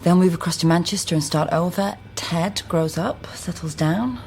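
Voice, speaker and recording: An actress playing a shrewd, guarded genealogist with a wistful inner side in a naturalistic radio drama. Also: female voice, fairly low pitch, moderate pace, vocal fry, noisy background. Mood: brisk, thoughtful.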